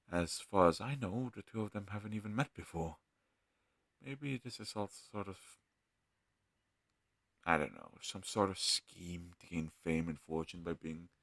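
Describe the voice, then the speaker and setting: A man playing an earnest, gentle healer mage in a fantasy audio roleplay, talking hesitantly and thoughtfully to a travel companion. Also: low-pitched, vocal fry, slightly rough, dry recording, clean studio sound, exaggerated voice. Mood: puzzled, reasoning. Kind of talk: scripted fantasy audio roleplay addressed to the listener.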